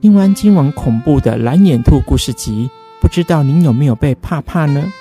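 A man hosts a late-night radio show with a very dark, mellow voice. His manner is polite and soothing as he talks and reads. Faint plucked guitar plays underneath. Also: fairly high pitch, lively intonation, normal speed. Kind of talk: late-night radio hosting and scripture reading. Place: radio studio, live broadcast. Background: soft plucked guitar music.

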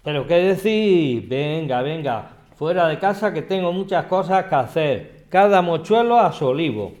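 Un hombre que interpreta a un vecino anciano, gruñón y testarudo, de trato brusco, en una radionovela. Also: male voice, on the high side, animated, moderate pace, darkish tone, close to an everyday voice. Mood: grumpy, dismissive. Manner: brusque, dismissive. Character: grumpy, stubborn elderly male neighbour living alone. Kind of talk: radio drama acting.

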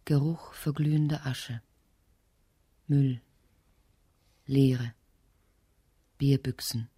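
Radio play lead: lonely, anxious woman, dark low murmur, whispered fragments, very dry sound. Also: fairly even intonation, no room sound, full character voice. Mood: bleak, brooding. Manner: breathy whispering, halting fragments. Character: lonely, anxious, bitter woman in bleak slum. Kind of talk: literary radio drama monologue and dialogue.

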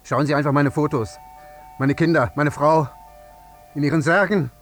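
An actor playing a grieving, vengeful father in a radio drama, speaking in a deliberate, accusing and repetitive way. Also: fairly high pitch, steady tone, faint music behind, natural voice. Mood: grim, insistent. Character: grieving, vengeful father confronting an air traffic controller.

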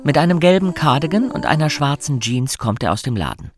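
A man's voice: on the high side, big pitch swings, fairly quick. A man narrating a radio drama from a script. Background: music.

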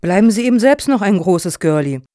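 Actress as prim committee lady, officious and formal, mellow voice, very dry recording. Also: mid-range voice, expressive, brisk, dry recording, lightly acted. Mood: supportive. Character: prim, approving woman on a foundation's jury. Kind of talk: satirical radio play acting.

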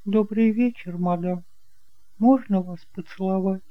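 Man voicing a high, fragile young woman. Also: steady tone, a little slow, highs cut off. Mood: tearful, polite. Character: shy, fragile young woman, sad and polite.

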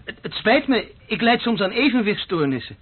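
A man playing an agitated, excitable character in a street confrontation in a radio play. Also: high-pitched, normal speed, breathy edge, heavily acted. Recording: muffled phone-like old radio recording.

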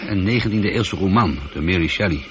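A man's voice: low voice, fairly quick, a bit bright. An actor playing a bored, irritable space colonel in his forties in a radio drama, with a sardonic, grumbling, teasing manner. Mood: probing, uneasy. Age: 40s. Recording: dull, noisy old radio recording.

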